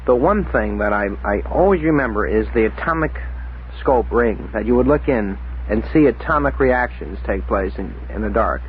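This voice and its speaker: A man's voice: on the low side, expressive, normal speed. A man chatting casually on a radio panel, putting curious questions to the guest. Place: radio studio. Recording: tinny, noisy old radio recording.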